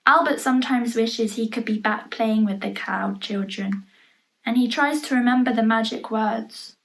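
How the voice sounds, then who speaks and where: A woman reading a children's picture book aloud in an expressive storytelling manner, pausing to point out the pictures. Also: a little high, vocal fry. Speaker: storybook reader.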